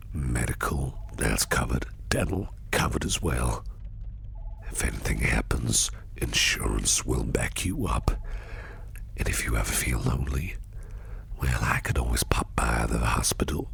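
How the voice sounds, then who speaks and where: A man playing a sinister, flirtatious businessman boss in an ASMR roleplay, speaking close to the mic in a gravelly, breathy near-whisper with a teasing, menacing purr. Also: a bass voice, a bit bright, full character voice. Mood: smooth, flirtatious.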